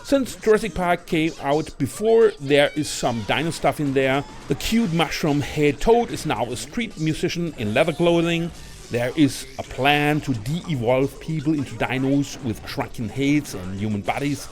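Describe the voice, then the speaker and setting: A man's voice: fairly high pitch, animated, unhurried. A man presenting a scripted film review as a ranked list, in the manner of a video narrator. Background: music.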